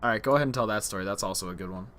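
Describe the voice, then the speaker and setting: A man's voice: lowish voice, rapid-fire, fairly bright tone. A man hosting a casual group chat where gamers share stories. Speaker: host leading a gaming story session.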